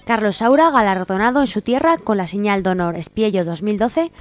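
A woman's voice: mid-range voice, fairly quick. A woman with an accent from Spain, co-hosting a community radio show and reading news headlines. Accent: Spanish, from Spain. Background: background music.

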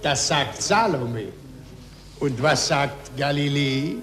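An actor playing a sly, measured cardinal who quotes scripture, in an echoey 1940s German radio play. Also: male voice, mid-range voice, expressive, a bit bright, soft background music. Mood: wryly probing. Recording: echoey old radio studio recording.

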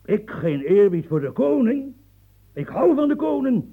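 An actor playing a proud, blustering old marshal in a radio play, gravelly and coughing, swinging from mumbles to outbursts. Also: male voice, extremely high pitch, very animated, a little slow, narrow, tinny audio, exaggerated voice. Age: older.

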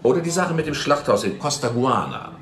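A man's voice: mid-range voice, big pitch swings, brisk, close to an everyday voice. A man playing a self-important impostor detective who rambles boastfully as a talk show guest in a radio drama. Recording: echoey broadcast heard within the scene.

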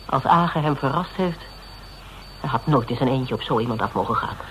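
An actor playing a level-headed detective in a Dutch radio drama, questioning methodically in clipped phrases. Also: male voice, mid-range voice, fairly quick, a bit bright, close to an everyday voice. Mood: stunned, pondering. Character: probing, level-headed detective piecing a case together. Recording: noisy old radio drama recording.

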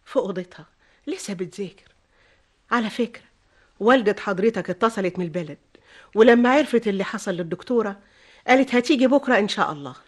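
An actress playing an older, gentle Egyptian nanny with a motherly manner. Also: medium pitch, quick pace, pauses often, close to an everyday voice. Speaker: housekeeper nanny.

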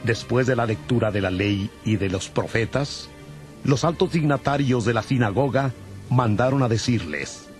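A man reading scripted scripture narration in a solemn, measured way. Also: medium pitch. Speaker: Bible narrator. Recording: music under the voice.

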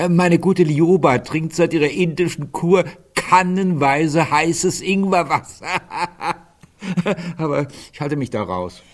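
A man's voice: a little high, takes it slowly. An actor playing a genial, sweet-toothed, slightly affected artistic man in a radio play, with an ingratiating manner. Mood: genial, indulgent. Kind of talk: naturalistic radio play acting.

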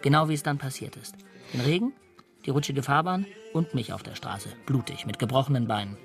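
Male actor as intense, superstitious drifter criminal, fussy and uneasy, medium pitch, radio drama. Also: a little fast, creaky, soft background music, natural voice. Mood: uneasy, brooding.

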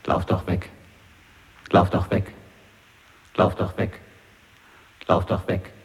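A man's voice: low voice, completely flat delivery, takes it slowly. An actor playing an insistent man chanting repetitively in an experimental radio play, with long echoing reverb. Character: insistent man chanting one curt command.

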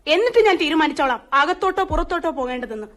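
A woman's voice: sits high, expressive, racing, fairly bright tone. A woman playing a scornful, defiant young wife in a radio drama, with a biting, resolute, dramatic delivery. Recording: radio drama over clear music.

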